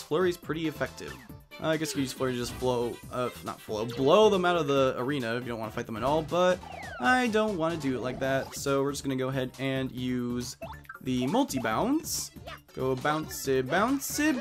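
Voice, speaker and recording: A man giving casual commentary on a video game he is playing, explaining its mechanics as he goes. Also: fairly high pitch. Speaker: let's play host. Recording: game music behind.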